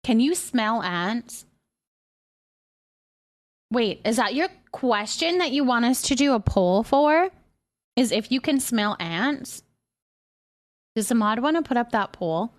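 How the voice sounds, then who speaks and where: A woman chatting casually as a live streamer, reading viewer comments aloud and musing on them. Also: fairly high pitch. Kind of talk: casual livestream chat.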